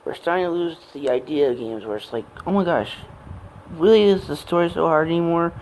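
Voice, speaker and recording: A man in his late twenties rambling off the cuff in a personal gaming vlog, with halting, meandering and broken phrasing. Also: fairly high pitch, a little mellow, slight background noise, poor audio. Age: late 20s. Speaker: amateur gaming vlogger. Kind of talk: rambling unscripted personal monologue.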